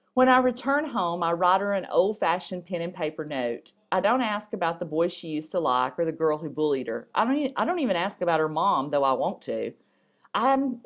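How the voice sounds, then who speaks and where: Low-voiced woman reading aloud. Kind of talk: reading a story and poem aloud.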